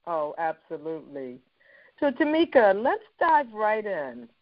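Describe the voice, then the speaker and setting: A woman's voice: mid-range voice, very animated, unhurried, no echo, muffled recording. A woman from Philadelphia hosting an interview, friendly and talkative as she asks questions. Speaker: show host. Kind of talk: casual interview chat.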